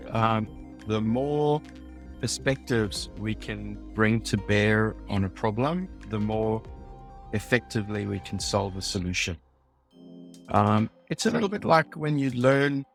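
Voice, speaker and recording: A man with a South African accent, a design leader speaking as a podcast guest in a thoughtful, measured way. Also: lowish voice, gravelly, faint music behind. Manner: thoughtful, measured, some ums and uhs. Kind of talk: podcast interview.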